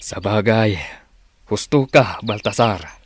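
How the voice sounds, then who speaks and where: Low-voiced, concerned confidant. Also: male voice, slow pace, breathy edge. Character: concerned male confidant of a village chief. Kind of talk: radio drama acting.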